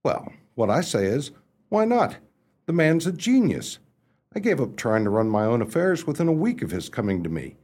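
Breezy, muddled young gentleman, husky voice. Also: mid-range voice, very animated, normal speed. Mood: breezy, confiding. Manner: chatty, breezy, theatrical upper-class phrasing. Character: flighty well-off young English gentleman bachelor, amiable but muddled. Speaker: narrator and lead character. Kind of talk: radio play acting, storytelling narration.

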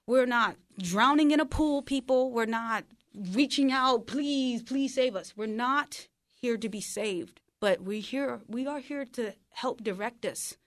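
A woman's voice: a little high, unhurried. A woman who was formerly incarcerated, speaking in an earnest, reflective way while interviewing a fellow speaker. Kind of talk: conversational interview.

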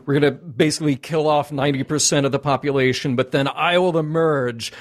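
A man's voice: on the high side, steady tone, a little fast. A man hosting a casual fan discussion, agreeable and thinking aloud as he talks. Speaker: podcast host.

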